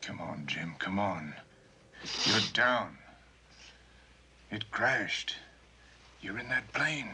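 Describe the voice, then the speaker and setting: An actor playing a calm doctor who gently guides a distressed patient through a memory. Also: male voice, very slow, pauses often, slight background noise, heavily acted. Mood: coaxing. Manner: low, steady and coaxing.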